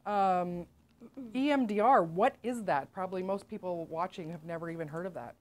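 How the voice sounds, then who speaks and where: A middle-aged woman hosting a casual interview as a nutritionist, upbeat and quick to affirm her guest with short okays, recorded in a small indoor studio. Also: lowish voice. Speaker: nutritionist host.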